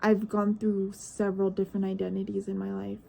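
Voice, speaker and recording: Nineteen-year-old woman, vlogger; hesitant, reflective monologue, dark mellow tone. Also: medium pitch, monotone, fairly quick, vocal fry. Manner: searching, hesitant, trails off into questions.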